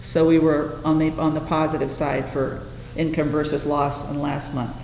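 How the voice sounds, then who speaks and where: Low-voiced woman in an echoey hall. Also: flat delivery, normal speed. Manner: plain-spoken, folksy, self-deprecating. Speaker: meeting chair. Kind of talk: informal chairing of a board meeting, reading out financial figures. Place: indoor public meeting room. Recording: echoey hall, loud room noise.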